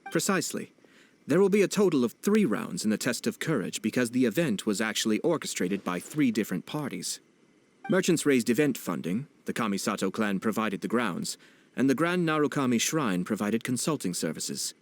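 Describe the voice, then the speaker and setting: An actor playing a poised young nobleman in a video game, speaking in a formal, measured, politely wry way. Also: mid-range voice, big pitch swings. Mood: explanatory, calm. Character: poised young nobleman, courteous, composed and subtly teasing. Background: background music.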